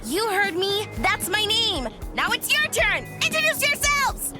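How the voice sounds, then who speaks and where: A shrill brash tomboy brawler. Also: female voice, big pitch swings, a little slow, heavily acted. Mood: taunting. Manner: rude, shouty, insulting. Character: brash hot-tempered tomboy girl warrior. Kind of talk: video game cutscene dub. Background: game music.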